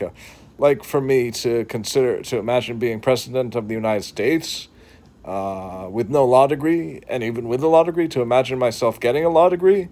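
A man's voice: mid-range voice, darkish tone. A man in his thirties with a New England accent, talking earnestly to his phone camera from a couch at home, with frequent restarts and fillers. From New England, Boston. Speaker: vlogger. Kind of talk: reflective talk to camera. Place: living room, seated on couch indoors. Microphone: phone camera at arm's length.

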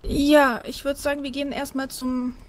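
A woman's voice: sits high, little pitch movement, normal speed. A young woman chatting casually through a co-op video game, hesitantly thinking aloud as she goes. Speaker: let's player. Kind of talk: casual co-op gaming chat.

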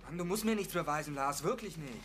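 A man's voice: a little high, animated, quick pace, noisy background, close to an everyday voice. A young male actor playing an exasperated, worried teenage boy in a German radio drama.

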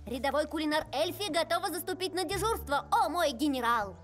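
A woman voicing a plucky, bossy young gnome in a cartoon film dub, brisk and cheeky. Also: sits high, lively intonation. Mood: cheerful. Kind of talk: animated film dubbing. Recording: loud music behind the voice.

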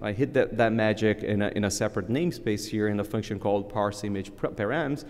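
A man in his thirties, a native Portuguese speaker, giving a relaxed live technical conference talk at a lectern, with many fillers and restarts. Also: fairly low pitch, brisk, in a large hall. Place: large conference hall, at a lectern.

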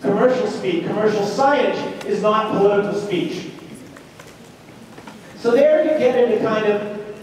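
High-voiced male lecturer in an echoing hall. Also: unhurried, long pauses. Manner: animated, rhetorical questions and check-ins. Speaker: university lecturer. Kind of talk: conversational lecture with questions to students. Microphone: distant room mic. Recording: roomy echoing lecture hall, distant mic, noisy.

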